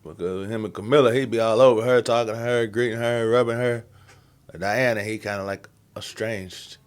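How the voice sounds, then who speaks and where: A man's voice: on the low side, steady tone, moderate pace, clean tone. A man giving casual, slangy reaction commentary as the host of the video. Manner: colloquial, slangy.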